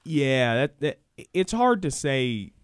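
A man's voice: mid-range voice, very animated, slow pace, smooth, no room sound, clean studio sound. An American man explaining college sports conversationally as a guest expert in a radio interview, emphatic and fond of hypothetical examples.

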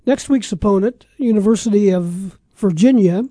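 A man's voice: high-pitched, moderate pace, mellow, no room sound, clean studio sound. A man with an American accent hosting casual sports talk, running through scores in a conversational, matter-of-fact way. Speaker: sports radio talk show host.

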